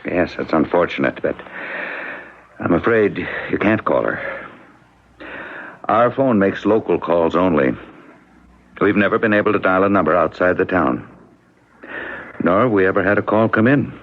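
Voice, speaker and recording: An earnest small-town mayor in vintage radio drama style. The voice sits fairly low and moves widely in pitch, deliberate and hesitant, theatrically solemn yet hopeful. The old broadcast recording sounds muffled. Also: male voice, expressive. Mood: calm, reassuring. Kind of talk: classic radio drama acting.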